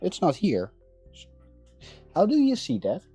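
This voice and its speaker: A man chatting casually while working on a build, thinking aloud in a halting way and repeating words. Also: high voice, wildly swooping pitch, mellow, husky, no room sound, faint music behind. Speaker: maker livestreaming a 3D printing build.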